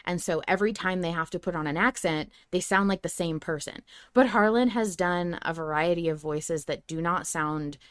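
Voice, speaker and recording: Female podcast host, lowish register, very dry sound, casual and keen conversational delivery. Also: moderate pace, few pauses, clear, resonant tone, no echo.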